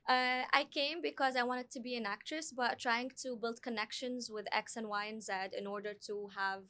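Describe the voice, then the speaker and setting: A young woman from Lebanon talks as a podcast guest, with a fairly high voice. She chats freely and laughs easily. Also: normal speed. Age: 20s. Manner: lively, chatty, laughs easily. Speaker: guest, actress and film producer. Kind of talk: casual podcast interview.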